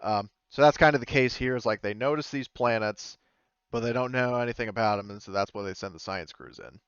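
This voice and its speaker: A man's voice: medium pitch, a little fast, a bit bright, clear, resonant tone, no echo, dull audio. A man casually explaining and narrating as the game master of a tabletop game.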